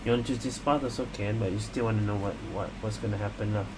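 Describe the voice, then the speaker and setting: A man's voice: fairly low pitch, steady tone, fast, background noise. A man giving casual reaction commentary as a gaming streamer, hesitant and repeating words as he thinks aloud.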